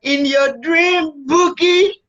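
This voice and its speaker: A man's voice: very high-pitched, fairly even intonation, extremely slow, a bit bright, exaggerated voice. A young man playing a rude, hot-headed male student slacker in a student drama. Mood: mocking. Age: young adult. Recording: dull lo-fi home recording.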